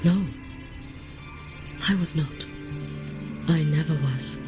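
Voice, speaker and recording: Terse, solemn warrior maiden. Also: female voice, sits low, takes it slowly. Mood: grave, calm. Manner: terse, formal, grave. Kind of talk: video game voice acting. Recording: loud music behind the voice. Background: loud game music.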